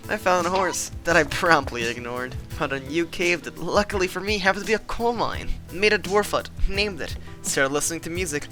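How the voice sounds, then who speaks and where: A young man narrating his gaming footage in a deadpan, mock-epic style, swearing casually. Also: on the high side. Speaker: gaming vlogger. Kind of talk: comedic scripted narration over gameplay.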